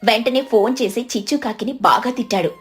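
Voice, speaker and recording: A woman's voice: a little high, brisk, soft background music. A woman narrating a scripted children's story.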